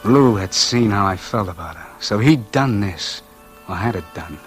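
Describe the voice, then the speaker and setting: An actor playing a bitter, numb man in a doomed crime story, in halting, brooding 1940s radio noir style. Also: fairly low pitch, expressive, natural voice. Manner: halting, brooding first-person delivery. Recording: vintage radio drama, music underneath.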